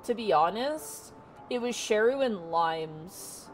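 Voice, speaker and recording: A woman with a naturally low voice, rambling casually with lots of fillers while streaming. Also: lively intonation, takes it slowly, soft background music. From Canada. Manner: rambling, many fillers and restarts. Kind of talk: casual chat on a livestream.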